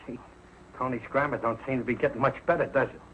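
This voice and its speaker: A man's voice: mid-range voice, fairly even intonation, fast, lightly acted. Male actor as good-hearted Brooklyn husband, bashful, ungrammatical, vintage sitcom, noisy old recording. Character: good-hearted, modest Brooklyn working-class husband and loyal friend. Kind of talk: early television sitcom acting. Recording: muffled, noisy old 1940s broadcast.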